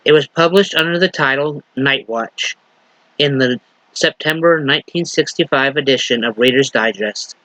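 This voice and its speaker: A male minister at a mid pitch, delivering a sermon at an unhurried pace and pausing on fillers and restarts. A slight lingering cough shows in his voice. The recording is dry, with little room echo. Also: pushed and bright. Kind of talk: sermon with story reading.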